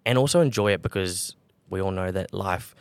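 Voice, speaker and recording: A young man speaks quickly in a low, smooth, resonant voice. His delivery is calm and relaxed, full of fillers and self-corrections. The close mic sounds dry. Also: dry recording. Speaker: guest, brand co-founder. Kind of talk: casual podcast interview.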